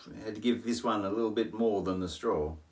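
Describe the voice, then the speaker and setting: A middle-aged man reading a rhyming children's story aloud, with dramatic pauses and playful asides. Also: on the low side. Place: small home living room, in an armchair.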